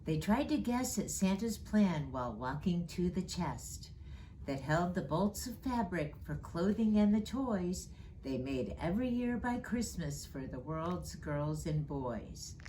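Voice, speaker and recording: Slow, expressive woman reading a storybook. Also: fairly low pitch, slight background noise, rough recording. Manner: slow, expressive, rhyming read-aloud delivery. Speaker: storyteller reading as Mrs. Claus. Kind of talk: read-aloud children's storytime.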